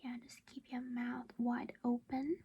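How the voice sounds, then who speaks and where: Female ASMR roleplayer as a doting vampire mom: breathy, creaky whisper, highish pitch, gentle reassurance, close mic. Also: flat delivery, measured pace, dull audio, slight background noise. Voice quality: breathy whisper with soft creaky voiced patches. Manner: gentle, reassuring, coaxing as if to a child. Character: doting vampire mother caring for her human child.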